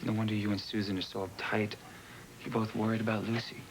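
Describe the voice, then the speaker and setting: A man's voice: fairly low pitch, little pitch movement, fast, background noise, lightly acted. An actor playing a man who presses his cousin with incredulous, emphatic questions. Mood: concerned. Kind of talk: TV drama acting.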